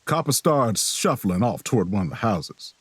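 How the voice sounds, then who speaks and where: A man acting as a calm, folksy traveler who narrates an eerie road trip in an audio drama, with a husky, measured delivery. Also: fairly low pitch, dry recording. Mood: uneasy, narrating.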